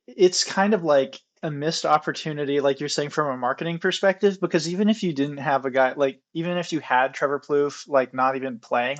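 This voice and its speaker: A man in casual podcast chat, building on the other speaker's points. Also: fairly high pitch.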